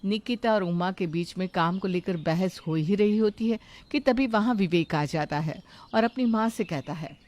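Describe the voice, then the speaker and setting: A woman's voice: mid-range voice, normal speed, few pauses, darkish tone. A woman reading scripted narration for a moral story, in the manner of a storyteller.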